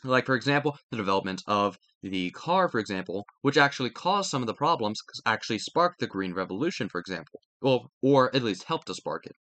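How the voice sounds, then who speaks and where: A man's voice: mid-range voice, very animated, fairly quick, few pauses, a bit bright, no room sound. A man reading a textbook aloud as a study-video host, stumbling over words now and then and correcting himself.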